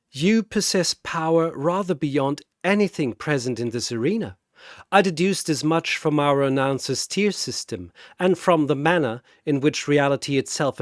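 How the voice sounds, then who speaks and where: A man's voice: fairly high pitch, no echo, clean studio sound, lightly acted. A man voicing an eloquent, dying Victorian detective in a battle story, with formal, wordy, analytical speech.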